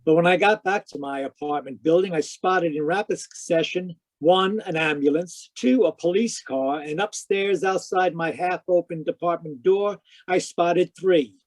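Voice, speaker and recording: Give this male actor's hard-boiled radio detective a slightly high voice and a clipped, wry delivery. He is brisk and matter-of-fact, narrating and questioning like an old-time mystery hero. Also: close to an everyday voice. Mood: tense narration. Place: home, live video call performance.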